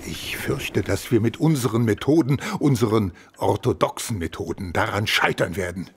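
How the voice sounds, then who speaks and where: Ein Schauspieler spielt in einem Hörspiel einen ernsten, eindringlichen Polizeichef mit belegter Stimme und Autorität. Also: male voice, lowish voice, normal speed, slight background noise, natural voice. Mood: grave, urgent. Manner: authoritative, emphatic. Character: grave, urgent senior Scotland Yard chief. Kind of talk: German radio play acting.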